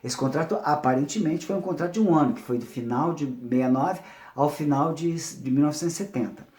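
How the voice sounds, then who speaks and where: A man's voice: on the high side, quick pace, darkish tone. A Brazilian man presenting an informal, unscripted history talk, digressing and repeating phrases as he searches for words. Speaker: music producer presenting a series on soap opera soundtrack history. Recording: echoey, roomy sound.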